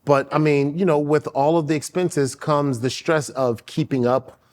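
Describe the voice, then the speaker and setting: A man's voice: fairly high pitch, steady tone, normal speed. A man hosting a casual interview, asking curious, earnest questions. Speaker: host.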